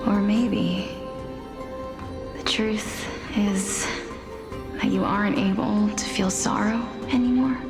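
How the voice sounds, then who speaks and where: An actress playing a gentle young woman who speaks softly and hesitantly with sorrow. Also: mid-range voice, fairly even intonation, slow pace, long pauses. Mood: sorrowful. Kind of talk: game voice acting. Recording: loud game music behind.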